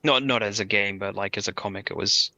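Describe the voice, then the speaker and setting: A man with a lowish but sharp, bright voice, recorded dry without room sound. He talks casually, as a podcast co-host does among friends. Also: quick pace, no room sound. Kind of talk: casual chat.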